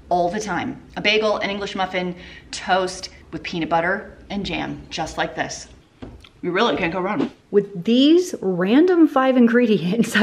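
A woman hosting a casual home cooking vlog, chatty and quick to laugh at herself, in a home kitchen. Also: medium pitch.

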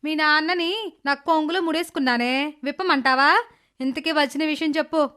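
Lady doing a grumpy stepmom in a moral story cartoon, high voice, always complaining and snapping. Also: moderate pace, sharp tone. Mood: grudging, sarcastic. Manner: scolding, complaining, sarcastic. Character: bitter, hot-tempered stepmother, grudging housewife and doting mother. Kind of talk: dramatized moral story voice acting.

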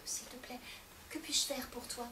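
Woman doing sulky sharp-tongued wife, huffy, demanding, highish voice, echoey room, distant. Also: expressive, normal speed. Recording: echoey room, far from the mic, noisy.

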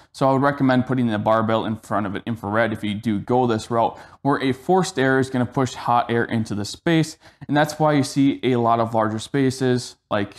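A young man giving an informal explainer to camera as the host of a how-to video, recorded in a garage. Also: medium pitch. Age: young adult. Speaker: host of a home gym tips video. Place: indoors in a garage converted to a gym.

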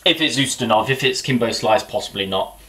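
A man's voice: medium pitch, fairly quick, pushed and bright. Man, thirties, rambling kitchen chat, mutters to himself, built-in camera mic. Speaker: boxing vlogger. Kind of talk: casual chat while cooking. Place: small home kitchen indoors.

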